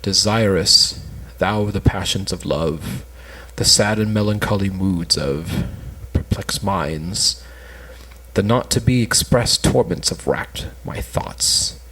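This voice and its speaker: A man's voice: deep voice, slow pace, pushed and bright, heavily acted, low-quality recording. A man playing a lovesick, melancholy suitor, earnestly declaiming in an amateur cold reading of an old stage play. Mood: yearning, sorrowful.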